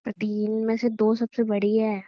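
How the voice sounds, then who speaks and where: A woman acts out a young wife in a romantic call drama, worried and questioning yet teasing. Her voice sits fairly high. The sound is close and dry, a bit dull and lo-fi. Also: completely flat delivery, a little slow, dry recording, lightly acted. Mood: uneasy, doubtful. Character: worried, doubtful young wife, playfully threatening. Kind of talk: romantic phone-call style audio drama in Hindi.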